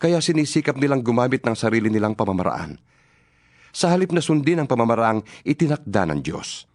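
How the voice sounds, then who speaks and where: A man's voice: medium pitch, big pitch swings, brisk, darkish tone, no room sound, clean studio sound. A man reading scripture as narrator of a dramatized Bible, in an earnest, rhetorical preaching delivery.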